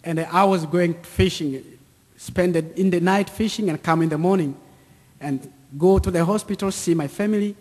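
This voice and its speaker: A man in his thirties with an East African accent, giving a personal testimony to an audience in deliberate, short repeated phrases. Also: high voice, darkish tone. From Central or East Africa, near Lake Tanganyika. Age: 30s. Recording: echoey hall with long reverb.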